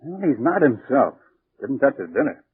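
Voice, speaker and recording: A man's voice: mid-range voice, very animated, moderate pace, husky, dry recording. An actor playing a worried, hesitant, cautious man at a mining camp, in 1940s radio drama style. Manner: hesitant, concerned. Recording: vintage radio, narrow tinny band.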